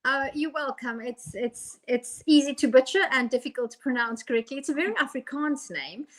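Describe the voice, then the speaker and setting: A South African woman co-hosting a live online chat show, playful and teasing, quick to laugh. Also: high voice, lively intonation, poor audio. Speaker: co-host. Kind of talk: casual chat.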